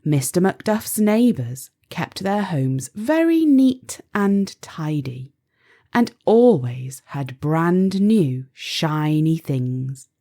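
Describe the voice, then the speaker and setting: A woman storyteller reading a children's picture book aloud in an expressive, deliberate way for young listeners. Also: medium pitch. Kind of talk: scripted children's audiobook narration.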